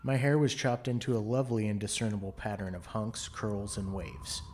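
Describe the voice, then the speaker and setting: A man's voice: lowish voice, steady tone, normal speed, background noise. A man giving dramatic, brooding first-person scripted narration as the narrator of an audio play.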